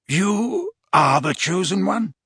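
Guy doing a tipsy teary old potions master, fretful, higher and kinda breathy. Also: sing-song, slow pace, fairly bright tone, no echo, clean studio sound, full character voice. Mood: anxious. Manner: emotional, hiccuping, fretful. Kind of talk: audiobook character voice.

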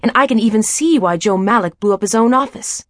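A woman's voice: a little high, lively intonation, a little fast, no room sound, studio quality. A woman narrating an audiobook as a first-person inner monologue, musing in an intimate way.

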